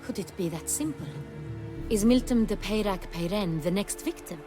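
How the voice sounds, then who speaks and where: An actress playing a commanding, regal young duchess in a video game, speaking formally and with authority. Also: female voice, medium pitch, animated, moderate pace, lightly acted. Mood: thoughtful, formal. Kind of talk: video game cutscene acting. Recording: music behind the voice.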